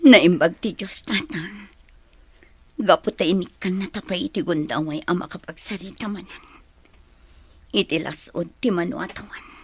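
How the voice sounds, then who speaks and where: A woman's voice: on the low side, unhurried, long pauses, breathy edge. A woman playing an earnest grown daughter in an Ilocano radio drama, speaking emotionally with an Ilocano accent. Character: earnest grown daughter reunited with her father.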